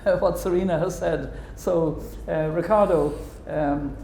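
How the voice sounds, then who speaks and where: Male panel moderator, halting and friendly, mellow tone, roomy recording. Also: on the high side, fairly even intonation, vocal fry, a little hiss or hum. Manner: hesitant, many ums and uhs, friendly joking.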